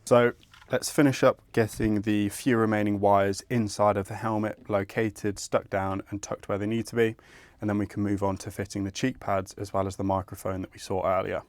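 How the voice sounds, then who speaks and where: A man's voice: lowish voice, little pitch movement, moderate pace, dry recording. A man presenting an installation tutorial, explaining each step in a methodical, informal way. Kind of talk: instructional step-by-step tutorial narration.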